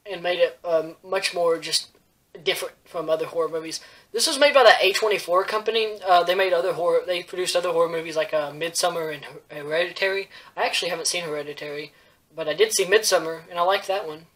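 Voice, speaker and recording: A young man giving a casual movie review to camera in a bedroom, halting, rambling and self-deprecating. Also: sits high, fairly even intonation, fairly bright tone, rough recording. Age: young adult. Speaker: host of a movie review show. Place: small bedroom, indoors.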